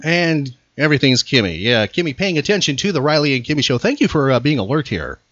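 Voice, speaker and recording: Animated man hosting a teasing quiz. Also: a little high, quick pace, dry recording, lo-fi sound, soft background music. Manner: teasing, mock-incredulous. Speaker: trivia podcast host. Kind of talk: casual quiz banter.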